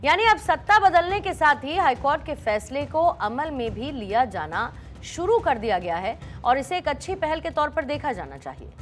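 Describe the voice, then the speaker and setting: A woman's voice: sits high, a bit bright. A woman reading scripted broadcast news as an anchor, with emphatic, presentational delivery. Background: background music under the voice.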